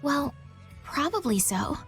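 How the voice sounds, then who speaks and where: An actress playing a gentle, worried young heroine in a video game, speaking politely and earnestly. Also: female voice, high voice, big pitch swings, takes it slowly, heavily acted. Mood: anxious. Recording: music under the voice.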